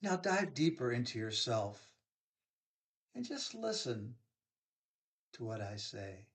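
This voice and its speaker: A man's voice: mid-range voice, very animated, takes it slowly, a bit bright. Man guiding a relaxation meditation. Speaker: yoga nidra guide.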